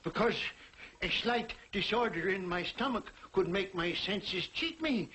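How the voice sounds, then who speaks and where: An actor playing a frightened, crotchety old miser, querulous and halting, in theatrical 1940s television drama style. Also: male voice, high voice, lively intonation, sharp tone, heavily acted. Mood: querulous, doubting. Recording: noisy old 1940s TV soundtrack, clicks.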